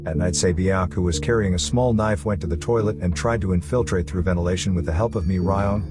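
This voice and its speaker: A man with a fairly low voice delivers scripted recap narration. He tells a story as a narrator, and music plays clearly underneath his voice throughout. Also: a little fast. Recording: music running behind the voice. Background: background music.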